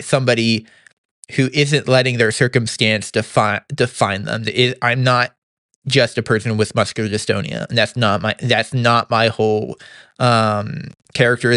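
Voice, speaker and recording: A young man talks through a casual podcast recap, upbeat and quick to laugh, often stopping and restarting his sentences. His voice is smooth and clear, with a slight gravel now and then, and it sits at a middle pitch. The recording is close and dry, with no room sound. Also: fairly bright tone, no room sound. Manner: halting, many restarts and stammers. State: throat sometimes tight and slightly gravelly. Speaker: podcast host. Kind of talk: casual monthly recap monologue.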